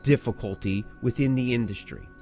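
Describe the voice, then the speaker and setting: A man's voice: mid-range voice, normal speed, a little mellow, soft background music. A man co-hosting a conversational podcast, speaking emphatically in short phrases and posing rhetorical questions. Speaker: podcast co-host and music interviewer. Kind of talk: conversational music industry podcast talk.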